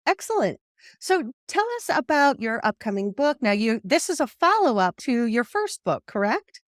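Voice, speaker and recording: A woman's voice: fairly high pitch, sing-song, normal speed, dry recording, clean studio sound. A woman hosting a podcast interview, upbeat and welcoming as she asks guiding questions. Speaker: podcast host. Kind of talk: conversational interview.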